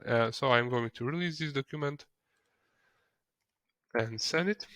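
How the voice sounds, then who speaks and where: A non-native English-speaking man narrating a software tutorial step by step, in a hesitant way. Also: medium pitch, a little slow, no echo. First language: not English. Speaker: software trainer.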